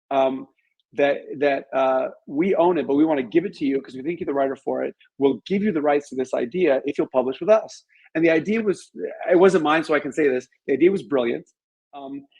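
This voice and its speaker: A man's voice: on the high side, darkish tone, breathy edge, poor audio. A middle-aged man speaking casually as an interview guest, telling stories in a candid, self-deprecating and chatty way. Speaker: interview guest, author and business owner.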